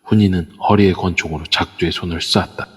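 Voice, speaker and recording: A man narrating an audio drama in a steady, measured way. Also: low voice. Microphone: phone via a voice chat app. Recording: long reverb.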